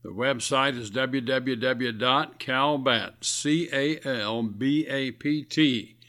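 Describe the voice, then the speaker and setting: A man's voice: mid-range voice, slow, bright, forceful tone. A man with a scratchy voice, preaching earnestly on a radio sermon broadcast in a folksy, exhorting way.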